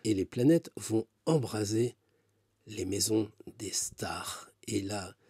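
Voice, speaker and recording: A man's voice: fairly low pitch, slow pace, warm tone, breathy edge, no room sound, studio quality. A man talking about astrology in a casual, rambling way, with many fillers and asides. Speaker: astrologer and tarot reader. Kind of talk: casual spoken commentary.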